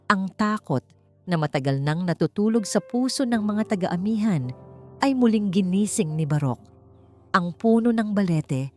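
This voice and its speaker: A Filipino woman narrates a dramatic audiobook story in a fairly low-pitched voice. She reads from a script in storytelling style, with music behind her. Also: a little mellow.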